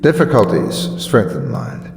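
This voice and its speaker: A man reading motivational sayings in a solemn, deliberate and emphatic way, with weighty pauses, like a dramatic speech. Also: mid-range voice, sing-song, gravelly. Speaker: narrator of motivational quotes. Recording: echoey long reverb over music. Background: background music.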